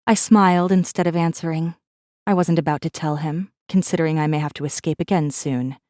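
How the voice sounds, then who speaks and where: Low, mellow woman, acting a guarded rebel. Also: steady tone, a little fast, dry recording, clean studio sound, lightly acted. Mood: wary, defiant. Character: guarded, defiant young woman, human rebel messenger. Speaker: audiobook narrator. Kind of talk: first-person scripted narration, audiobook.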